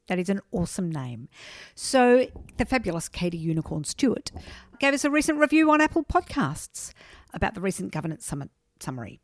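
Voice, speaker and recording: A woman's voice: mid-range voice, no echo, clean studio sound. A woman with an Australian accent hosting a podcast, introducing and interviewing with an enthusiastic, emphatic manner. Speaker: podcast host.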